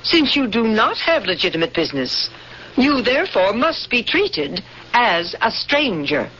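A woman's voice: on the high side, very animated, unhurried. An actress in a 1970s radio drama playing a coldly officious alien woman interrogator, imperious, clipped and dogmatic. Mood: stern, accusing. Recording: dull, noisy vintage radio broadcast.

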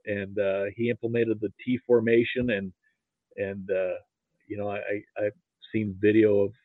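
A man's voice: lowish voice, level tone, moderate pace, dry recording. American guy reminiscing on a thin phone line, dry sound, stumbles and repeats words, middle-aged or older. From Nebraska, United States. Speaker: interview guest, football archivist. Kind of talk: casual chat, reminiscing.

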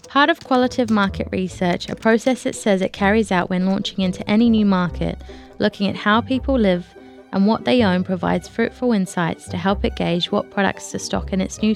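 A woman's voice: mid-range voice, gravelly. A woman, a journalist speaking on a podcast about her own reporting. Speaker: journalist, interview guest. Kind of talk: conversational interview and reading aloud of an article.

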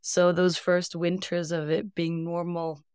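A young woman from Iceland, a band's singer, chats in an interview with a fairly low, smooth and resonant voice. She tells her stories at a reflective pace and laughs easily. The sound is dry and close. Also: level tone, measured pace, a little mellow, no echo. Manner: reflective, laughs often, storytelling. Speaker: band singer, guest. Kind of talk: casual interview chat.

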